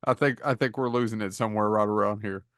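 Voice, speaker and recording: A man's voice: lowish voice, steady tone, quick pace, fairly bright tone, no room sound, studio quality. A man co-hosting a comedy podcast, tossing wry quips into casual banter. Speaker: podcast co-host.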